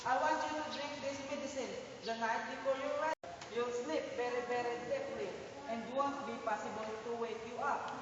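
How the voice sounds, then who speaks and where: A calm priest, acted by a woman, lays out a risky plan in a measured voice. The recording has a long, echoing hall sound with the mic far away. The sound is dull, with audible noise behind. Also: on the high side, lightly acted. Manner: steady, explaining plans. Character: calm scheming old priest.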